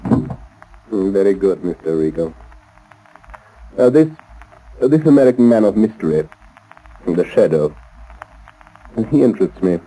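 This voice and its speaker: A cold-blooded doctor in a vintage 1930s radio play. His voice is deep and velvety dark, and he speaks in formal, measured phrases with hesitant pauses. The menace stays courteous and suave throughout. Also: expressive, low-quality recording. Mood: smug, curious. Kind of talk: 1930s radio drama acting. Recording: old 1930s radio recording.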